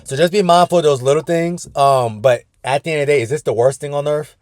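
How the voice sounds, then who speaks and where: A man's voice: a little high, quick pace, darkish tone, dry recording, clean studio sound. A man coaching a weight-loss group on a video call from his car, talking casually and often calling the group "y'all". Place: inside his parked car.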